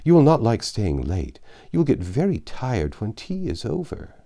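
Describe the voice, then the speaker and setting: A man reading a storybook, voicing a gentle, fretful elderly father who fusses anxiously and plaintively. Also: medium pitch, huge pitch swings, normal speed, voice pushed up. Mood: fussing. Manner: fussy, plaintive. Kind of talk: audiobook character voice.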